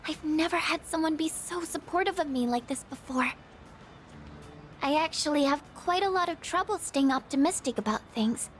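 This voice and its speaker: A woman playing a shy, gentle, insecure teenage girl in a video game, with a hesitant, apologetic, stammering way of talking. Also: high voice, moderate pace, soft background music, close to an everyday voice. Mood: earnest, downhearted. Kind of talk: video game character dialogue voice acting.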